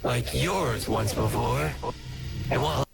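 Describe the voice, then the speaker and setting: A man's voice: medium pitch, slow pace, vocal fry. A man voicing a menacing, taunting skeleton villain in a fan comic dub, drawling with smug false friendliness. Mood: weary, scornful. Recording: music playing under the voice. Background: music.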